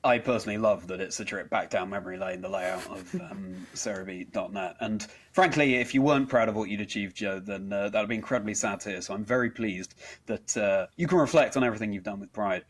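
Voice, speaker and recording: A man's voice: on the low side, fast, slight background noise. A man hosting an interview chat, speaking in an effusive, rather formal and wordy way. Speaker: podcast host interviewer.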